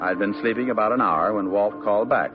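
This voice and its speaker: A man's voice: fairly low pitch, a little fast, close to an everyday voice. A man playing a sardonic private detective who narrates and trades quips, in a 1950s radio drama. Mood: narrating. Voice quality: sardonic, clipped delivery. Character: wisecracking male private eye, dry and sardonic. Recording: dull lo-fi old radio broadcast with music.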